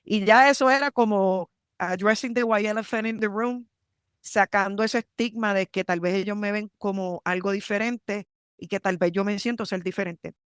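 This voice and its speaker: A woman from Puerto Rico with a Puerto Rican accent, telling her story in a lively interview and mixing English terms into her Spanish. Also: mid-range voice, moderate pace, clear, resonant tone, dry recording, studio quality. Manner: animated, emphatic, mixes English terms into Spanish. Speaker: army lawyer, guest.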